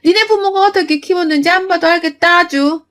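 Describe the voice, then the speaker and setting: A woman putting on a shrill, spiteful mother-in-law. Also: brisk, a bit bright. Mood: indignant. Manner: scolding, shrill and sneering. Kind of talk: storytelling with acted voices.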